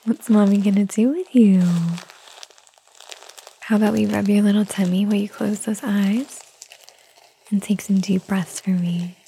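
A woman doing a whispered ASMR roleplay, talking softly and soothingly in a gentle whisper. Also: medium pitch, little pitch movement, a little slow, vocal fry, long pauses, breathy edge, poor audio. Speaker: ASMR performer.

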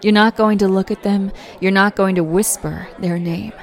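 A woman's voice: medium pitch, slow pace, a faint crowd, close to an everyday voice. A woman playing a fiercely protective, loving young girlfriend who speaks straight to the listener as "baby" in a roleplay audio. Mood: furious.

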